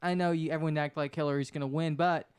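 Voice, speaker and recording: A man's voice: on the high side, steady tone, fast talker, slight background noise. A man co-hosting a podcast, chatting casually about politics. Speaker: podcast co-host.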